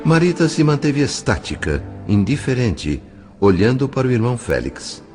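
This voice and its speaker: A man's voice: mid-range voice, sing-song, normal speed. A man with a Brazilian accent narrating an audio novel in a solemn, literary, carefully phrased style. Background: faint music.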